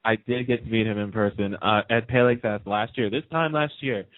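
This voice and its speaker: A man's voice: on the low side, a little fast. A man chatting casually and enthusiastically in an interview, telling anecdotes and acting out the dialogue he quotes. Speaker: radio host being interviewed as a guest. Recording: band-limited, lo-fi phone-in radio sound.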